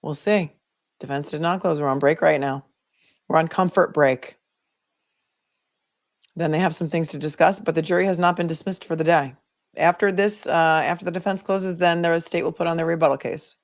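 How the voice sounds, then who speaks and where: A woman's voice: low voice, fairly even intonation, normal speed. A woman with a Boston accent hosting a legal livestream, chatting casually and thinking aloud as she answers viewer comments. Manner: conversational, opinionated, thinking aloud.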